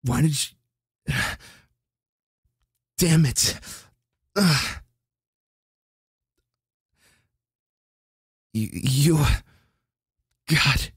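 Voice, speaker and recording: A man voicing a flustered, brooding but tender young warrior in a romantic roleplay ASMR, husky and halting, close to the microphone. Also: fairly high pitch, fairly bright tone, dry recording, exaggerated voice. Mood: agitated, torn. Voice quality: husky, breathy. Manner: halting, stammering, sighing.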